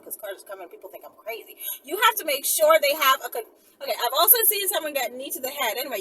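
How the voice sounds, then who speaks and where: High, bright-voiced woman rambling to viewers. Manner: animated, blunt, slangy, easily sidetracked. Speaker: video channel host. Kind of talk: casual rambling chat to viewers. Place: outdoors near houses and passing cars. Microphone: phone on a holder. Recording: noisy outdoor phone recording, passing vehicles.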